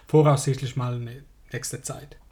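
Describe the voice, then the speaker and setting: A hoarse man with a Swiss German accent, hosting a solo podcast and chatting informally, straight to the listener. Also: medium pitch, fairly even intonation, unhurried, warm tone. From Switzerland. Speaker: podcast host. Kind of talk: casual solo podcast monologue.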